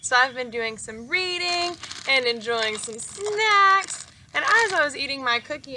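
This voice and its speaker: A woman's voice: on the high side, sharp tone, slight background noise. A woman teacher talking to young children in a friendly read-aloud style. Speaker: teacher reading a picture book aloud.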